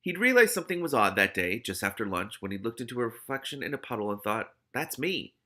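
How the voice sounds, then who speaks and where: A man reading a novel aloud in an expressive, animated way, adding his own exclamatory commentary. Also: lowish voice, quick pace. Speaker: host of a read-aloud commentary show.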